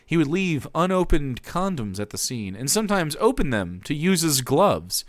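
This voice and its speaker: A man's voice: a little high, sing-song, moderate pace, dry recording. A young man streaming, giving a theatrical reading of fan fiction and breaking off to comment, on a close desk mic.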